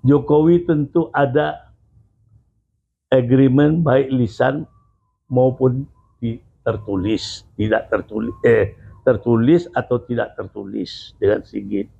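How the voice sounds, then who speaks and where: Male guest, very slow, gravelly and husky, tinny phone-like sound. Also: medium pitch, steady tone, warm tone. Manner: slow, measured opinions. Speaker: guest commentator. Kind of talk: casual interview answers.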